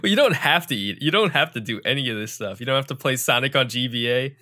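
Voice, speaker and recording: Bright-voiced young man hosting a podcast. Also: mid-range voice, fast. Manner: energetic, emphatic, jokey sarcasm. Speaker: podcast host. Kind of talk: casual podcast chat.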